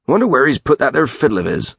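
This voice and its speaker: A man's voice: mid-range voice, big pitch swings, fast talker, vocal fry, slightly rough, no echo, studio quality, voice pushed up, full character voice. A man voicing a hearty, exasperated middle-aged tranter with a rural West Country English accent and a sonorous, emphatic delivery. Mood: indignant grumbling. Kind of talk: audiobook character voice.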